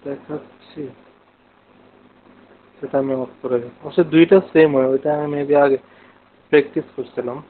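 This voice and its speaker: A man's voice: on the high side, steady tone, moderate pace, long pauses, slight background noise. A man with a Bangladeshi accent teaching a live online coding lesson step by step, mixing in English technical terms. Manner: explains step by step, asking questions of students. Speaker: programming instructor. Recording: narrow phone-like online class audio.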